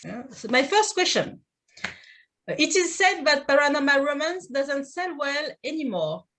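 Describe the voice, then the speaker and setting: A woman's voice: high voice, big pitch swings, normal speed, a bit bright, a little hiss or hum. A woman with a French accent hosting a video-call author panel, giggling often as she asks questions. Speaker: host.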